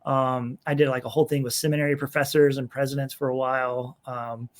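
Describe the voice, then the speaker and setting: A man's voice: mid-range voice, level tone, brisk. Middle-aged male pastor, guest; casual, rambling, easy laughter. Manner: chatty, rambling, laughs easily, many fillers. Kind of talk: casual interview conversation.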